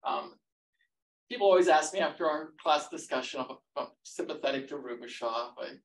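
Halting man in an echoey conference room. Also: on the high side. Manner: halting, many fillers and restarts. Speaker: book author at a roundtable. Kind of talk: academic discussion. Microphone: far room mic. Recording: echoey room, distant mic, faint noise.

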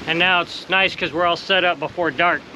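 A man in his forties vlogging casually from the deck of a small sailboat, relaxed and upbeat with loose fillers and soft laughs. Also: fairly high pitch. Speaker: solo sailor vlogger. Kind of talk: casual vlog narration. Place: outdoors on the deck of a small sailboat at sea, wind blowing. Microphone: action camera close on the boat. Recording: windy outdoor camera audio on open water. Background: wind and water rushing past the hull.

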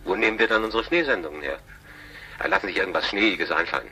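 An actor playing an officious, easily exasperated radio department boss in a German radio drama. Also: male voice, low-pitched, fast, noisy background, close to an everyday voice. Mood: fussy, exasperated.

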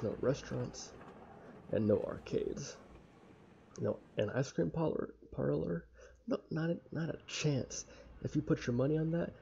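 A young man with a casual, halting, sweary manner reading a visual novel aloud and commenting as he plays. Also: mid-range voice, vocal fry, dark tone. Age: young adult. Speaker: let's play streamer. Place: small room at home. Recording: dull lo-fi mic with faint noise.

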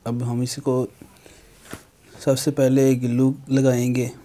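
A man's voice: mid-range voice, flat delivery, slow, mellow. A young man, giving step-by-step how-to narration in a plain, instructional manner. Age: young adult. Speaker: how-to video host.

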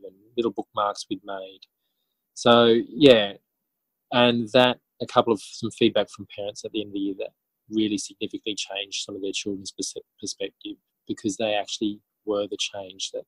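A man answering as an interview guest in a casual, halting way, thinking aloud as he goes. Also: lowish voice, slightly rough, dry recording, poor audio. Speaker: interview guest, author and educator.

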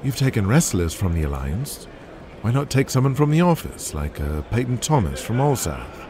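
Man playing a folksy wrestling adviser, audio drama, persuasive with some hesitations, lively pitch swings, faint background noise. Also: moderate pace. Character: shrewd, folksy male wrestling business adviser.

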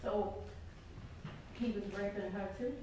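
A woman's voice: mid-range voice, fairly even intonation, measured pace, heavy reverb, background noise, close to an everyday voice. An actress on stage playing a frank, sassy young woman who is a protective friend, recorded from a distance. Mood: concerned, doubtful. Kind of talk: stage play acting. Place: indoor theatre stage. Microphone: far camera.

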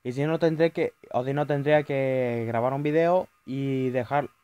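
A young man with a Chilean accent commentating a horror game, yelling at jump scares and rambling between them. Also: mid-range voice, fairly even intonation, normal speed, smooth, no echo, muffled recording. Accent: Chilean Spanish. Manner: jumpy, shouting at scares, rambling asides. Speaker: gaming youtuber. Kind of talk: let's play commentary.

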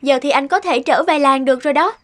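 A woman voicing a shy, kind young forest woman in a fairy-tale cartoon, gentle and hesitant. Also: high-pitched, fast talker. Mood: caring.

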